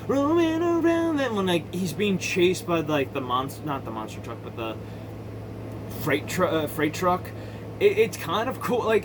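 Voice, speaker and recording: A man's voice: on the high side, background noise. A man giving casual, rambling movie commentary in an opinionated, sweary and emphatic way. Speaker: amateur movie reviewer.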